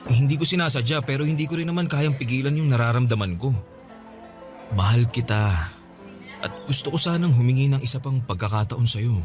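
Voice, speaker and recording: A man's voice: medium pitch, brisk, a little mellow, faint music behind, natural voice. An actor voicing a remorseful young man who pleads hesitantly and earnestly for another chance in a radio drama. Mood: pleading. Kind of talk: Tagalog radio drama acting.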